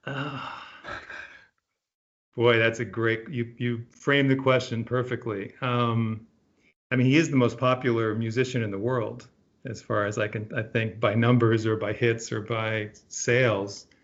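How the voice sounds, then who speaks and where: A middle-aged man from Puerto Rico, a physician being interviewed, speaking in an earnest, thoughtful way with frequent pauses and restarts. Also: medium pitch, level tone, highs cut off. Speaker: guest, emergency physician. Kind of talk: reflective interview conversation.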